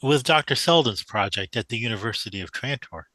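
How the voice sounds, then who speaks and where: A man's voice: medium pitch, animated, brisk, bright, forceful tone, no room sound. A man acting in a radio drama as a polite, earnest young mathematician, naive and hesitant. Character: polite, earnest young male mathematician new to the big city.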